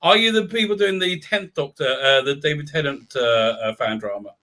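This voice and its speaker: A man's voice: fairly high pitch, normal speed, pushed and bright. A man on a video call, chatting bluntly and emphatically and cutting in over the other speaker. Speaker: guest. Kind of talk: casual podcast chat.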